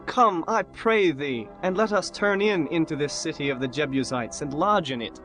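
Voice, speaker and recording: A man's voice: fairly high pitch, normal speed, fairly bright tone, soft background music, lightly acted. An actor playing a deferential young servant urging his master in a dramatized scripture reading.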